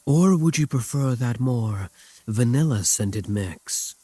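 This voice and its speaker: A man playing a brooding, tender vampire king in intimate ASMR roleplay, husky and courtly, with formal endearments and hesitant pauses. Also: mid-range voice, animated, no room sound. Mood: calm, caring. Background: soft music.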